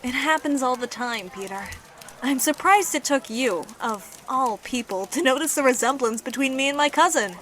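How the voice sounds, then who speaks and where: Woman playing a high-voiced, friendly young woman. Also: lively intonation, moderate pace, fairly bright tone, slight background noise. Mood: comforting. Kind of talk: comic dub voice acting.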